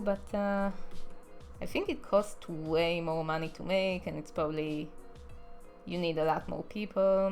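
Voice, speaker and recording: Young Israeli-accented woman, lowish voice, rambling live-stream chat, faint music behind. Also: a little mellow. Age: young adult. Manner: chatty, rambling, frequent ums and uhs. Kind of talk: casual live stream chat answering viewers.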